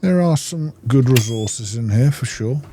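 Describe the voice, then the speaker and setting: A man giving casual, low-key gameplay commentary, musing and thinking aloud to himself. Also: expressive, a little slow, mellow, slight background noise. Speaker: gaming video host.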